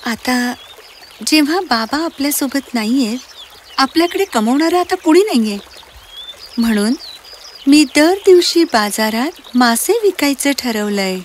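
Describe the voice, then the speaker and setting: A woman playing a gentle, caring young elder sister in a children's cartoon fairy tale, with an earnest, apologetic manner. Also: high voice, slight background noise. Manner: soft-hearted, earnest, apologetic.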